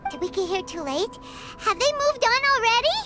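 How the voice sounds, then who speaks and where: Squeaky, excitable little fairy. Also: female voice, normal speed, soft background music, exaggerated voice. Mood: worried. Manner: refers to self in third person, exclamatory. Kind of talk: animated game voice acting.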